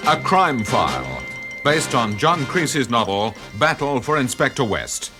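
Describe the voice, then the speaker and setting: A man's voice: mid-range voice, very animated, unhurried. A man announcing the credits of a radio drama in a scripted style. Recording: loud theme music under voice. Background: loud music.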